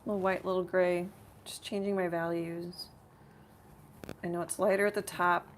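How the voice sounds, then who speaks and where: A woman with a slightly rough voice hosting a casual live painting tutorial, thinking aloud in a rambling way as she works. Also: on the low side, little pitch movement, moderate pace, slight background noise. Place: home art studio.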